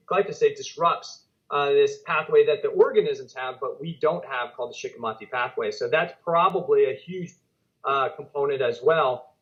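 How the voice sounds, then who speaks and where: Guy hosting a podcast, slightly high voice, muffled lo-fi sound, lots of "right". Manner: explanatory, affirming with quick "right"s. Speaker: podcast host. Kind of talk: conversational interview. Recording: dull lo-fi audio.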